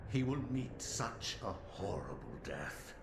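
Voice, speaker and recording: An actor voicing a menacing ancient demonic servant who gives commands and threats. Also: male voice, on the low side, very animated, slow, gravelly, exaggerated voice. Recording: echoey with loud ambient noise.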